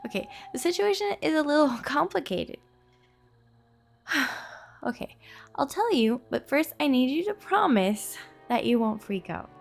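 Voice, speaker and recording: A woman's voice: sits high, sing-song, a little slow, creaky, soft background music, full character voice. A woman playing a nervous, earnest young girlfriend in an intimate audio roleplay, hesitant and pleading in her delivery.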